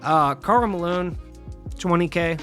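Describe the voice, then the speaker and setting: A man's voice: sits high, slow pace, fairly bright tone, faint music behind. A young man with a scratchy voice, casually rambling through game market tips as a channel host and often trailing off mid-thought. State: scratchy voice after a long recording session.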